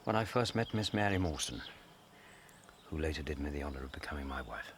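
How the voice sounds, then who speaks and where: An actor playing a loyal, reflective British gentleman companion who narrates a radio drama in a measured, wistful manner. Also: rumbling low, animated, a little hiss or hum.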